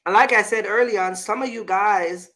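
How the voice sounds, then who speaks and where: A man's voice: high-pitched, moderate pace, bright, forceful tone. A man talking casually as a vlog host, giving business advice and personal stories in an emphatic, repetitive way, often checking in with the listener.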